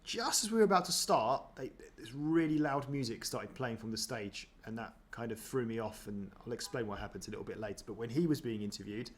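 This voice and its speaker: A man's voice: medium pitch, lively intonation, a little fast, few pauses. A man chatting casually as he reacts to footage of himself, self-deprecating, with many ums and restarts. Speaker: running vlogger.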